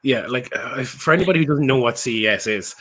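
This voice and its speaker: A man's voice: medium pitch, lively intonation, quick pace, fairly bright tone. A man with an Irish accent hosting a podcast, chatting in an enthusiastic, informal way. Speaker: podcast host. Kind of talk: casual conversational interview.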